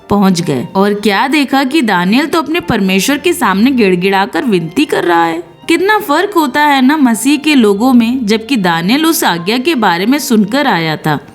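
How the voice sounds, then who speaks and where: A woman narrating scripted Bible stories in an earnest devotional storytelling style. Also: on the high side. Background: background music.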